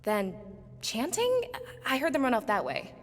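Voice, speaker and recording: A woman's voice: sits high, huge pitch swings, unhurried, big-room sound, background noise, exaggerated voice. A woman voicing a quirky, rambling, puzzled witness in a video game. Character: quirky, rambling female city-alley witness.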